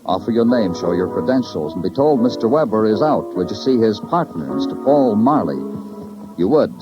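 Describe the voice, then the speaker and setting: A wry American detective tells his case in clipped, literary deadpan. His voice sits a little low, in a dull old radio drama recording with prominent music behind him. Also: moderate pace. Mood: wry, brooding. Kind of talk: 1950s radio noir acting and narration.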